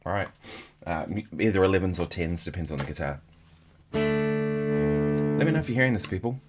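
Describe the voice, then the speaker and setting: Sniffly man chatting over loud guitar. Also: deep voice, animated, normal speed. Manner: chatty, thinking aloud between guitar playing. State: sniffly from a stuffy nose. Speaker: guitarist hosting a livestream. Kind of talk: casual livestream chat. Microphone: in-ear monitors, studio mic. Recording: livestream with loud guitar behind. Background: loud electric guitar.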